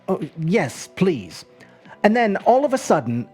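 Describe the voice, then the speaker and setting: A woman's voice: medium pitch, big pitch swings, a little slow, a little mellow, faint music behind, voice pushed up. A woman voicing a polite, puzzled seventeen-year-old boy with an earnest, hesitant way of musing aloud. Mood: excited, insistent.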